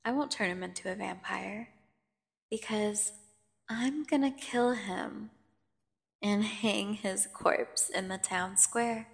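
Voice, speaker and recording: A woman playing a menacing, seductive vampire prisoner in an ASMR audio drama, taunting and condescending with theatrical pauses. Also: medium pitch, creaky. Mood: gloating menace. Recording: long cavernous reverb.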